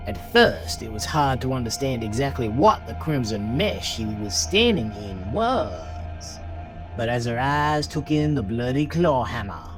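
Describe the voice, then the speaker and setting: A man narrating a scripted story in a dramatic style, as the narrator of an audio drama. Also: mid-range voice. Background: music behind the voice.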